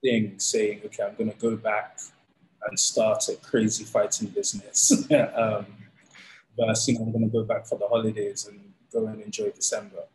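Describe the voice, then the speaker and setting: Relaxed man, low voice. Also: moderate pace, a little hiss or hum, rough recording. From England. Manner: relaxed, animated storytelling with fillers. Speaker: founder of a fighting league, guest. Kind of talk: casual interview. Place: indoors, plain room. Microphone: studio mic on arm, video call.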